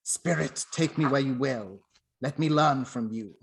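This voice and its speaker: A man's voice: medium pitch, measured pace, vocal fry, background noise. A man playing a humbled old miser in a radio play, earnest and projecting his lines.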